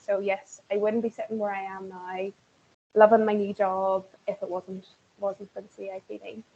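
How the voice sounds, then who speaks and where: A woman's voice: medium pitch, darkish tone. A woman sharing an enthusiastic, chatty testimonial on a video call, with lots of fillers. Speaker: webinar guest speaker. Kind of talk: casual testimonial. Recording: dull lo-fi call audio with faint hiss.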